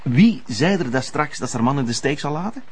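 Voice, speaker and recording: An actor playing an insistent, wounded ex-lover with a Flemish accent in a naturalistic TV drama. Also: male voice, mid-range voice, expressive, brisk, a little mellow. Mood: urgent, pleading. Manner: pleading, insistent. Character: pleading, lovesick male ex-lover, insistent and wounded. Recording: clear background noise.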